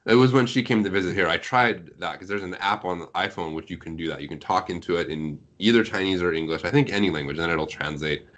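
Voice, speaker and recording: Deep-voiced man chatting casually. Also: quick pace, few pauses, fairly bright tone. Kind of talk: casual chat, telling anecdotes.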